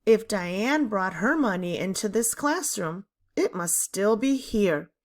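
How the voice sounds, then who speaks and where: Storybook role: firm, correcting female teacher read by a woman narrator, unhurried, with lively questioning intonation. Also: mid-range voice. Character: stern, probing female fifth-grade teacher.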